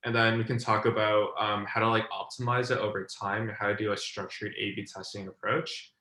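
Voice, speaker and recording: A man's voice: on the low side, level tone, fairly quick, pushed and bright. A young man from China, a design mentor, explaining ideas in a loose, talky way with lots of fillers, on a video call. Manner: loose and talky, filler words, "like" often, checks "does that make sense". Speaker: design mentor hosting a group session. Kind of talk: casual online teaching. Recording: roomy echo.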